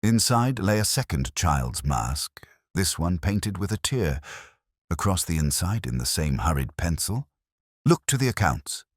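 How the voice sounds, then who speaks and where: A man reads a mystery story in an extremely low voice with a husky grain. His delivery is scripted, measured and dramatic, with lively rises and falls in pitch. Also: no room sound, clean studio sound. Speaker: narrator of a detective mystery. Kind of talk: scripted literary audiobook-style narration.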